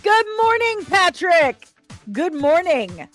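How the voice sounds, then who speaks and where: A woman hosting a casual livestream, chatting and reading out comments in a playful, expressive, sing-song way. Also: very high-pitched, slow pace. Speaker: live stream host reviewing comics and screen news. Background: faint background music.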